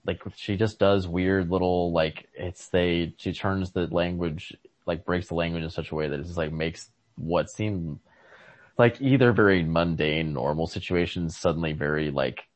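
A man in a casual book discussion, speaking haltingly as he searches for words, on a lo-fi recording. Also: sits low, no echo. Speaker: podcast host. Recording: lo-fi home recording.

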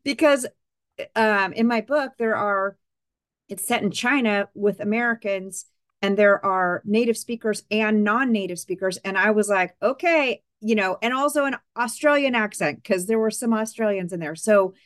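A woman's voice: medium pitch, no echo, studio quality. Chatty woman hosting a podcast. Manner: chatty, quick asides, self-correcting. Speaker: podcast host. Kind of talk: casual interview chat.

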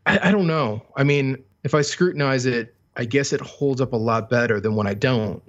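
A man's voice: medium pitch, moderate pace, a bit bright. A middle-aged man co-hosting a podcast, casually talking music in a tentative, thinking-aloud way on a slightly lo-fi track. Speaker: podcast co-host.

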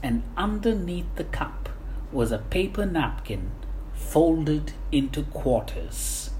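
A woman reading a humorous first-person anecdote aloud with measured, wry understatement, like a storyteller. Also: low voice, very animated, warm tone. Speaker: guest reader of book excerpts. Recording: roomy echo, faint background noise.

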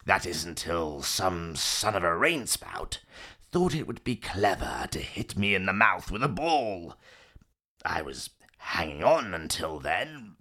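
A boastful, crumbling old stone gargoyle in an audio play. The voice is very sharp and forceful, fairly low, with big theatrical swings in pitch. The delivery is grand and declamatory. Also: male voice, very animated, measured pace, exaggerated voice. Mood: aggrieved.

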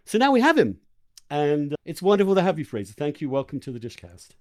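A man's voice: on the high side, big pitch swings, fast talker, darkish tone. A man hosting a conversational interview podcast, speaking in an enthusiastic, emphatic and informal way. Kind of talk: conversational interview with a spoken introduction.

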